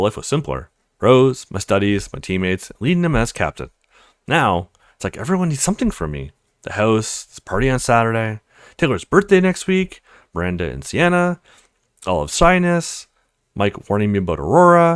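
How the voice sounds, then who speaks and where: A man's voice: mid-range voice, no echo. Guy doing an earnest young student dude from a visual novel, really varied intonation, dry sound. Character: earnest young male student, overwhelmed but kind. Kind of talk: visual novel character reading.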